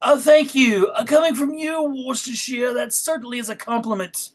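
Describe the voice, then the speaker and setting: A man's voice: very high voice, fairly quick, voice pushed up. A man voicing a pompous, hearty English nobleman in a sketch comedy table read. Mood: jovial, pompous.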